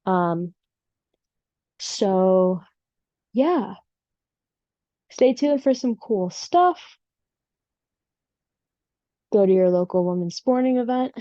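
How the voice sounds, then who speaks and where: A woman's voice: mid-range voice, animated, drawn-out words, pauses often, warm tone, no room sound. Woman host with a Chicago accent, easygoing solo podcast chatter, recorded in a dry room on a slightly muffled mic. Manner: rambling and chatty, with many ums. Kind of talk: casual solo podcast chat. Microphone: home setup without usual equipment.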